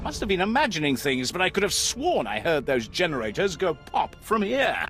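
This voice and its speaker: An eager, bloodthirsty game lieutenant. His voice is a little high and swings widely, cocky and punchy, over faint music. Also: huge pitch swings, normal speed, fairly bright tone. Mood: pleased, cocky. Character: brash, bloodthirsty, eager young Imperial lieutenant. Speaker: video game voice actor. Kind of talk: scripted game cutscene dialogue.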